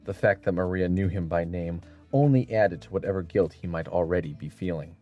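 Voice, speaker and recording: A man with a very low voice narrates a dramatic audiobook. Faint music plays behind his scripted storytelling. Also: brisk, dark tone, dull audio.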